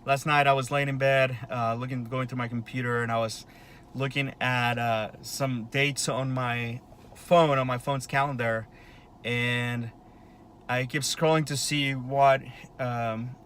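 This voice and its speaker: A man's voice: medium pitch, a bit bright, a little hiss or hum. Rambling man in his thirties. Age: 30s. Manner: rambling, full of fillers and restarts. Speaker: vlogger. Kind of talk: casual rambling monologue.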